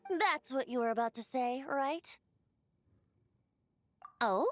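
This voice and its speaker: A woman's voice: sits high, expressive, slow pace. A woman voicing a playful, poetic young male bard in a video game, with teasing, lyrical phrasing. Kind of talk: scripted video game dialogue.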